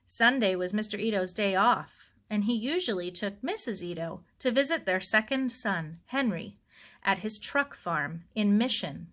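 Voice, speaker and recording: A woman's voice: mid-range voice, animated, moderate pace, a bit bright. A woman reading a story aloud as scripted audiobook narration. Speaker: audiobook reader.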